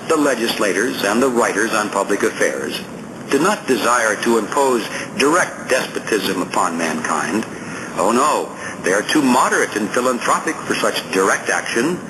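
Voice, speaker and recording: A man's voice: lowish voice, background noise. A man reading scripted nonfiction as an audiobook narrator, in a formal, emphatic, oratorical manner.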